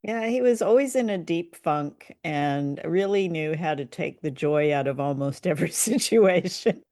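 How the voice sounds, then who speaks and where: A woman's voice: low-pitched, animated, normal speed, mellow. A woman hosting a podcast in a casual, conversational review style. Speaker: podcast host.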